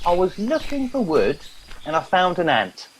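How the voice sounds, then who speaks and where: A man's voice: sits high, huge pitch swings, normal speed, slight background noise. A man playing an eager, muddled junior crewman in a comic radio play, on a muffled recording. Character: eager, dim junior crew member.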